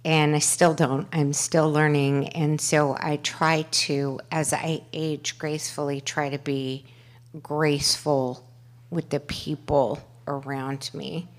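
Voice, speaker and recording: Low-voiced woman sharing at a meeting. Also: level tone. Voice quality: loud voice. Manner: halting, many fillers and restarts. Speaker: panel speaker at a twelve-step workshop. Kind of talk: personal sharing at a recovery meeting. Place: meeting room. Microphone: handheld microphone.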